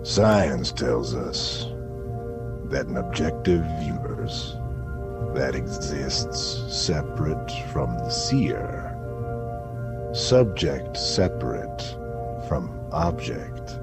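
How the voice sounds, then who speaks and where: Grandiose radio science reporter, eerie and portentous, widely swinging intonation, slow dramatic pauses, music behind. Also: male voice, very deep voice, animated, gravelly, full character voice. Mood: solemn, probing. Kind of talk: theatrical scripted radio monologue.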